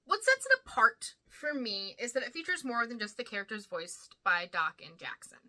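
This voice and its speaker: Bright, sharp-voiced woman hosting a countdown. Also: fairly high pitch, huge pitch swings, moderate pace. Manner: enthusiastic, emphatic. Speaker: host of a ranking video. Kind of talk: fan commentary, countdown.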